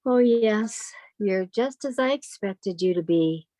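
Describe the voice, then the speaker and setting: A woman's voice: medium pitch, animated, slow, dark tone, no room sound. A woman playing an earnest, love-struck and defiant sister in her thirties, in an old-time radio melodrama style. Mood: tender, nervous.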